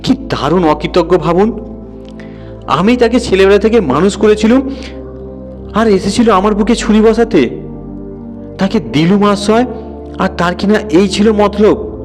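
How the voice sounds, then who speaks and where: A man's voice: high-pitched, unhurried, pauses often, soft background music, pitched above the performer's own voice. A man voicing a boastful, indignant householder who plays both victim and hero in an audio story. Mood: wounded indignation. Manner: indignant, blustering, self-important.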